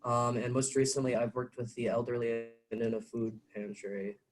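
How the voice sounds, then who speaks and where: A man's voice: mid-range voice, flat delivery, normal speed, low-quality recording. A young man from upstate New York gives a brief, plain introduction, keeping his voice level throughout. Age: young adult. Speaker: incoming college student.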